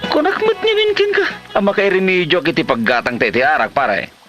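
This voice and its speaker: An actor playing a lazy, sly husband in an Ilocano comedy drama, with an Ilocano accent and exaggerated, whining excuses. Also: male voice, fairly high pitch, very animated, a little fast, highs cut off, music in the background. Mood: boastful.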